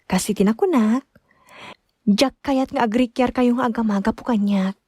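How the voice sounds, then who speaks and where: A woman's voice: a little high, moderate pace, warm tone, slightly rough, dry recording. A woman with an Ilocano accent playing a meek, long-suffering young daughter-in-law in a radio drama, hesitant and plaintive. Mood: reassuring, troubled. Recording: music bed behind close dialogue.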